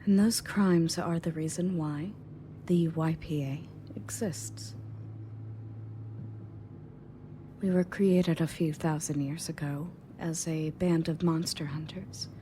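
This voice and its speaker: A woman's voice: low voice, fairly even intonation, a little slow, warm tone. A woman playing a confident, matter-of-fact rescue agent in an audio roleplay, speaking conversationally to the listener. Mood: matter-of-fact briefing. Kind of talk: scripted audio roleplay, in-character monologue to listener. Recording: clearly audible background noise and ambience.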